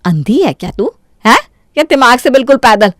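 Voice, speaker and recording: A woman voicing a quick-tempered, berating Indian mother-in-law in a Hindi cartoon story. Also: fairly high pitch, very animated, a bit bright, dry recording. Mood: angry scolding. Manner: harsh, exclamatory, berating.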